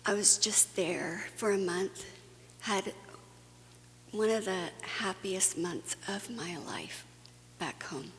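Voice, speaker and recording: A woman's voice: mid-range voice, lively intonation, slow pace, pauses often, a bit bright. A woman from Guatemala giving an earnest, conversational conference talk, with fillers and restarts. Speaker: conference speaker. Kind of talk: plenary talk to a faith audience. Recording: long hall reverb, faint hum.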